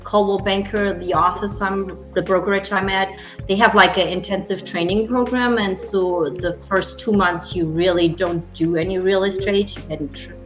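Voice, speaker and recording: A woman's voice: mid-range voice, fairly even intonation, moderate pace, echoey room, music in the background. A woman from Germany with a German accent, chatting casually as a real estate agent guest, full of "you know" fillers. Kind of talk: casual interview chat.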